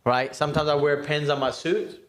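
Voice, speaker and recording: Male American podcast host, slightly high voice, casual round-table talk, earnest and emphatic delivery. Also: steady tone, a little fast, crowd noise. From United States. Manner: earnest, emphatic, repeatedly checks with "right?".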